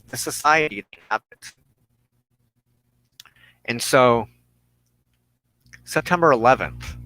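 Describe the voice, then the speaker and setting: An American man giving an earnest personal monologue as a broadcast host, speaking in deliberate, halting phrases with emphatic pauses. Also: medium pitch, a bit bright, dry recording, poor audio. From United States. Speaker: host of a weekly religious broadcast.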